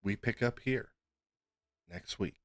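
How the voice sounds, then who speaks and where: A man's voice: lowish voice, slow pace, no room sound. A man acting as game master, casually narrating a tabletop game and talking with the players.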